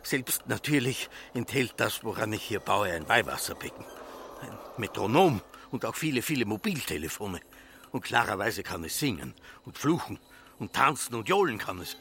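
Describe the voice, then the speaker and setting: A man's voice: medium pitch, sing-song, moderate pace, bright, forceful tone, a little hiss or hum. An actor playing a stubborn Austrian farmer obsessed with his machine, solemnly declaiming in a literary radio drama. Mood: fervent, visionary. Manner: declamatory, solemn, incantatory listing.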